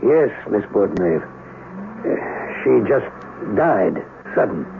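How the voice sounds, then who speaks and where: Rural mechanic, radio drama, thin faintly hissy sound, gravelly, halting, folksy, embittered. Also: male voice, lowish voice, sing-song, heavily acted. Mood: halting, sorrowful. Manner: folksy, halting, ungrammatical speech. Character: rural small-town mechanic, grieving, embittered, plain-spoken man. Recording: narrow phone-like, faintly noisy radio broadcast.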